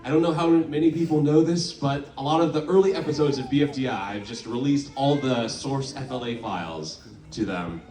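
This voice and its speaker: Relaxed male panelist, echoey and distant. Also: mid-range voice, a little fast. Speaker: show creator on a panel. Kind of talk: live panel Q&A. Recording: roomy and distant.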